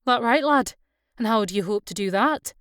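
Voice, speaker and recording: Female reader as Scots male skipper, mid-thirties, direct, reassuring, audiobook dialogue, crisp, dry recording. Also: on the high side, animated, normal speed, a bit bright, no room sound. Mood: measured, probing. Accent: Scottish. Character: slim Scots fishing skipper, man in mid-thirties, steady, fair-minded.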